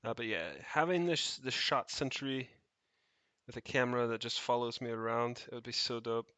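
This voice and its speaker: A man's voice: mid-range voice, steady tone, normal speed, sharp tone, clear, resonant tone, dry recording. A man chatting off the cuff on a livestream, rambling and thinking aloud with casual swearing as he tinkers. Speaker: streamer, tech hobbyist. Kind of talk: casual unscripted livestream chat while tinkering. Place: indoors, rented home.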